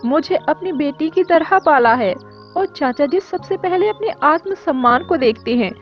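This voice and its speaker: A woman playing a timid, dutiful young woman in an animated story. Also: high voice, unhurried. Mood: fearful, pleading. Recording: dull lo-fi audio with music.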